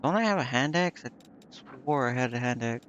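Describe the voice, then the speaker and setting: A man's voice: mid-range voice, lively intonation, normal speed, fairly bright tone, dull audio, slight background noise. A man streaming a video game, thinking aloud in a casual, hesitant way as he mutters to himself.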